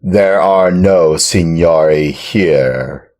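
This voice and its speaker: A man doing a hoarse, booming puppet-show tyrant. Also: drawn-out words, darkish tone, heavily acted. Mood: commanding. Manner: booming and commanding. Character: gruff bearded male puppet-theater director, big and blustering. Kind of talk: storybook reading.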